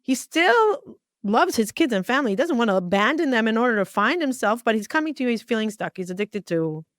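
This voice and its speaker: Woman host asking questions, pushes back, kinda high voice, dry room. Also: sing-song, a little fast, no room sound. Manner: probing, pushes back to clarify. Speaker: interviewer, host. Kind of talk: interview questioning.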